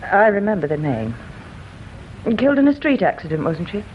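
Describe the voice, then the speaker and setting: An actress playing a guarded daughter who coolly and crisply shields her mother from a police inspector in a radio drama. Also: medium pitch, big pitch swings, moderate pace, a little hiss or hum, natural voice. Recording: dull lo-fi radio recording.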